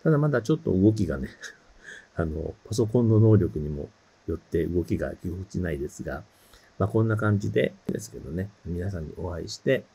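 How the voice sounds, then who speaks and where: A middle-aged man with a low, gravelly voice and a dark, soft tone. He presents casually to the viewer in a friendly, polite way, slightly hesitant as he goes. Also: expressive, fairly quick. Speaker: avatar librarian presenter. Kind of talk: casual self-introduction to camera.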